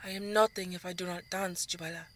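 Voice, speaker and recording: A woman playing an earnest, wistful young belly dancer recounting her life in a fantasy audio drama, speaking in a measured way. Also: lowish voice, fairly even intonation, close to an everyday voice. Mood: longing.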